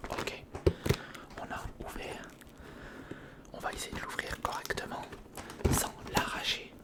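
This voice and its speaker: An ASMR creator narrating an unboxing in a whisper, speaking informally and intimately to the viewer. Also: measured pace. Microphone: sensitive recorder microphone, close.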